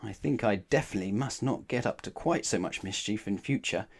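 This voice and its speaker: A man's voice: lowish voice, brisk. A man voicing a small, mischievous male prankster from a children's storybook, in an exclamatory manner. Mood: rueful.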